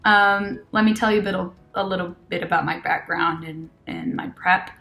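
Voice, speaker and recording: A woman's voice: fairly low pitch, steady tone, moderate pace, vocal fry, bright, forceful tone. Woman, 20s, grew up in Argentina, Spanish first language, American-leaning accent; chatty webcam testimonial, lots of fillers. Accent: American-leaning English. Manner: chatty, many fillers and self-corrections, laughs often. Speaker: test-prep student giving a testimonial. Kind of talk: casual testimonial. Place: small indoor room with red wall.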